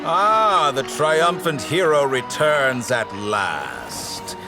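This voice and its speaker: A man's voice: a little high, sing-song, very slow, over music, a crowd in the background, heavily acted. An actor playing a smug, arrogant scholar villain in an anime game, pompous and mocking in his delivery. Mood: smug, gloating.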